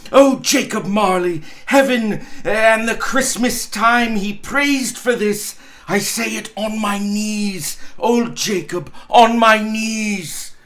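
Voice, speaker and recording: A man's voice: sits high, slow, fairly bright tone, pitched above the performer's own voice. A man voicing an overjoyed, newly reformed old miser in a storybook reading, exuberant and stammering with exclamations. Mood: fervent gratitude.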